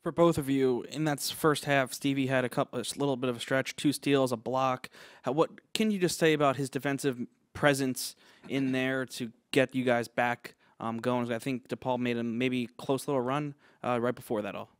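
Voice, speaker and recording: A man asking rambling, halting questions as a reporter at a postgame press conference. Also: medium pitch, steady tone, clean tone, no room sound, clean studio sound.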